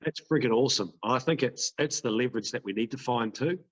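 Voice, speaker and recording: A man's voice: medium pitch, brisk, muffled recording. A man co-hosting a podcast interview, speaking in a casual, enthusiastic and rambling way. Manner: enthusiastic, rambling, with many "you know" fillers. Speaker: podcast co-host.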